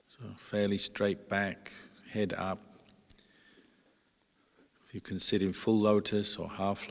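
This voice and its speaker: Deep, even-toned man guiding meditation in reverb. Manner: measured, pausing between short phrases. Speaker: Buddhist monk leading guided meditation. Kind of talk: guided meditation instruction. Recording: long hall-like reverb.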